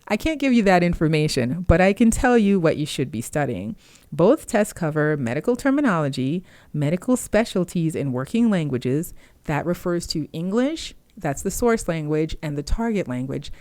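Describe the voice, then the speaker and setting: Woman trainer, native Haitian Creole speaker, deep voice, patient explainer, dry recording. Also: a little mellow, dry recording. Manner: explanatory, uses fillers, clear step-by-step. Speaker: medical interpreter trainer. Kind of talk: informal instructional talk.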